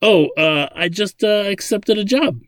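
Casting: adult male narrator as sheepish boy whiz, higher pitch, eager, pleading, hesitant, storybook drama. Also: big pitch swings, normal speed, no echo, studio quality.

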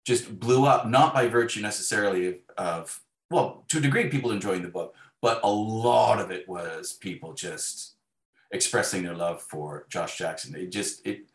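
Low-voiced man, echoey room. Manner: wry, self-deprecating. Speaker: interview guest, author. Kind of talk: casual reflective interview conversation.

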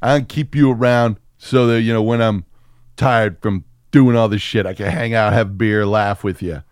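A man's voice: lowish voice, moderate pace, smooth, no room sound, studio quality. A man hosting a casual, comedic movie podcast, riffing with plenty of swearing. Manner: profane, riffing, animated. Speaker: podcast host.

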